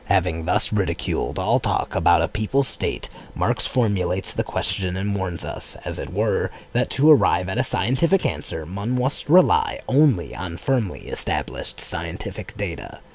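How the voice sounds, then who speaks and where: A man narrating a political text as an audiobook in a measured, formal manner. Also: sits low, sing-song. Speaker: audiobook reader.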